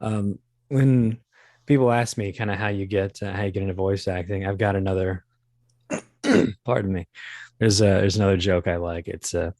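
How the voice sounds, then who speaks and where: A man with a low voice, recorded close and dry. He speaks casually as a guest in an interview, pausing and restarting as he thinks things through. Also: steady tone, darkish tone, no room sound. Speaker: audio drama creator and guest.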